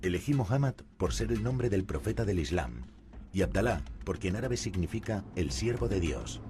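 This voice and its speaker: A man narrating a documentary in the first person, in a calm and measured way. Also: low voice. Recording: music playing behind.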